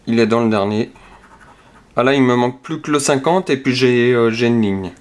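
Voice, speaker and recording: A man calmly talks through each number as he scratches lottery tickets, casual and methodical. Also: medium pitch, moderate pace, smooth. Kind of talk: casual narration while scratching cards. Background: scratching sounds.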